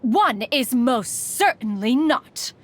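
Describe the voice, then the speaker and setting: Proud, aloof female immortal for a video game: fairly high register, animated intonation, stately archaic phrasing. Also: slow pace. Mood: proud, brisk. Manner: haughty, archaic formal diction, refers to self as "one". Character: proud, aloof immortal crane adeptus in womanly human form. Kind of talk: scripted video game character voice lines.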